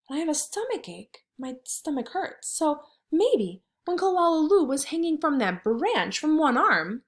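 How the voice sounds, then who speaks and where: A woman teacher doing a read-aloud for kindergarteners, wondering aloud and asking simple questions in an expressive, child-directed way. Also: high voice, measured pace.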